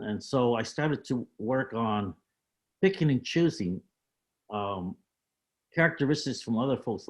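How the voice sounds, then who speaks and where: Halting older man recalling his past. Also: fairly low pitch. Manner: measured, halting, with many fillers. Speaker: panel guest. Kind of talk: reflective storytelling on a panel.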